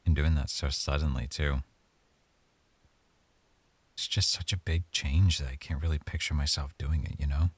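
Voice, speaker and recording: A man playing an affectionate, hesitant young boyfriend in an intimate ASMR roleplay, speaking softly and haltingly as he thinks aloud. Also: a bass voice, fairly even intonation, slightly rough, no room sound. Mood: hesitant, unsure.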